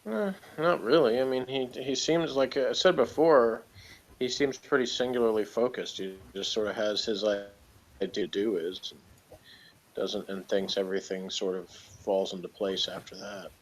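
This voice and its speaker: A man's voice: fairly low pitch, steady tone, normal speed, low-quality recording. A man talking informally and hesitantly, meandering through his opinions in an unscripted review chat about television. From outside Britain.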